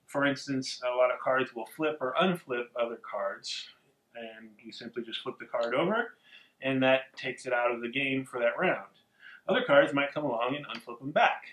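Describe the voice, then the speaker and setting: A man with a mid-pitched voice walks through a card game's rules in a steady, plain way, dropping frequent ums. The sound is roomy and echoey. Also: normal speed. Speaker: podcast co-host. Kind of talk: casual game explanation.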